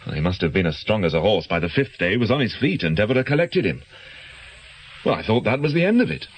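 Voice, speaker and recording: An actor playing an anxious, guilt-ridden doctor in a radio drama, speaking in hesitant, defensive, educated phrases. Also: male voice, lowish voice, very animated, fast talker, a little hiss or hum. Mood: uneasy, confessional. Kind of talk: naturalistic radio drama acting.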